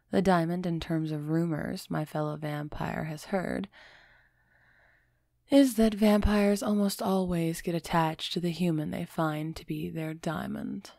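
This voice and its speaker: A woman playing an aloof, commanding yet teasing female vampire in an intimate ASMR roleplay audio drama, speaking in a measured, softly commanding way. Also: lowish voice, creaky, no echo, studio quality.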